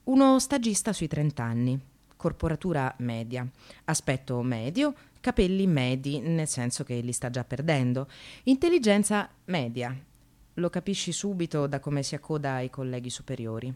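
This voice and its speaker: A fed-up receptionist in a radio play, sardonic and bitter. Her lowish voice swings widely between muttered asides and dramatic outbursts. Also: very animated, moderate pace, darkish tone. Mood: contemptuous, deadpan. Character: exasperated, bitterly sarcastic precarious receptionist, a working mother. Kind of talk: theatrical radio monologue.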